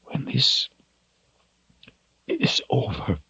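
A man's voice: on the low side, takes it slowly, a little mellow, husky, no echo, highs cut off, voice pushed up. A man voicing a commanding sorceress, grave and full of sorrow, in a fantasy audiobook. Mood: broken, threatening.

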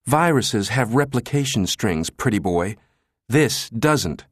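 Audiobook role: male narrator as bellowing computer chief, crude and sardonic, raised pitch, very dry studio sound. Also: animated, moderate pace, no room sound, close to an everyday voice. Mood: scornful. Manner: brash, sardonic, spitting out words. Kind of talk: audiobook character dialogue.

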